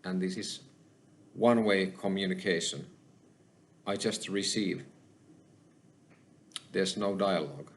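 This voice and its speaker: Finnish guy talking slow and careful in english, kinda low voice, lots of ums and pauses. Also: a little hiss or hum. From Finland. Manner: slow and halting, with many pauses and fillers. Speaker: channel host. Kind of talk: solo spoken monologue.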